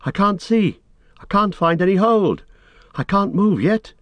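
A man narrating acts out a gentlemanly hobbit master in a fantasy audiobook. His voice is lifted high and moves in lively swings, with short, urgent, strained commands. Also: measured pace, no room sound. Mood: weak, frightened.

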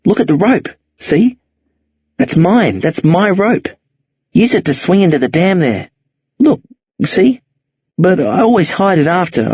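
A man voicing a blunt, streetwise teenage boy who talks urgently and insistently in a husky voice. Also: on the high side, darkish tone, dry recording, lo-fi sound, pitched above the performer's own voice. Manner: urgent, insistent, rough slang.

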